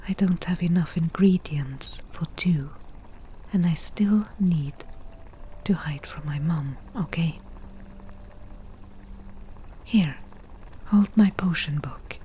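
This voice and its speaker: Lady doing a whispery asmr forest witch, lowish mellow voice, crafty and a bit defensive, dry asides, right up on the mic. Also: slight background noise. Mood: bossy, matter-of-fact. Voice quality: soft, breathy whisper. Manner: slow, measured, matter-of-fact with dry asides. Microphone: close ASMR microphone.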